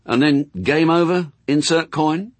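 A man's voice: on the high side, steady tone, measured pace, no echo, voice pushed up, natural voice. A man voicing a sardonic, worldly, persuasive demon with a punchy, insistent, colloquial manner in an audiobook story.

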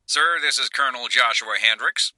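A man's voice: mid-range voice, moderate pace, pushed and bright, no room sound, pitched above the performer's own voice, poor audio. A man voicing a stern, humorless American Marine colonel with a strong, twangy Texan accent in an audiobook thriller. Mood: stern, official.

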